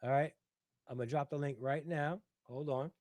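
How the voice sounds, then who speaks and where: A man's voice: fairly high pitch, fairly even intonation, normal speed, darkish tone, dry recording. A middle-aged man hosting a casual livestream, talking at length, reading out viewer comments and often checking in with "right?". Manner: talkative, repeats himself, says "right?" often. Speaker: livestream host.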